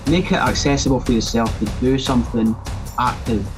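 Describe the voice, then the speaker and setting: A man's voice: mid-range voice, a little fast, over music. A man from northeast Scotland with a Scottish accent, explaining things conversationally as a podcast guest and fitness trainer.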